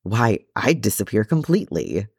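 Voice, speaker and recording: A man's voice: fairly low pitch, sing-song, normal speed, creaky, a little mellow, husky, no room sound, clean studio sound, full character voice. A man voicing a polite, easily shocked young male hobbit in a storybook, earnest and exclaiming. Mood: aghast, amazed. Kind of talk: storybook character reading.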